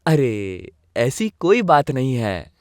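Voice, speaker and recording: A woman's voice: a bass voice, sing-song, slow pace, a little mellow, dry recording, pitched below the performer's own voice, heavily acted. Lady doing a jumpy young groom in a cartoon, very up and down, dry mic. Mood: nervous. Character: nervous, defensive young male groom.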